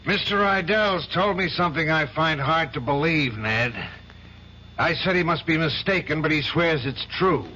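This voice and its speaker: An actor playing a stern middle-aged law firm boss in a 1970s radio drama. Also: male voice, a little high, moderate pace, sharp tone, a little hiss or hum. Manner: stern, clipped, demanding. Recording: dull old radio recording.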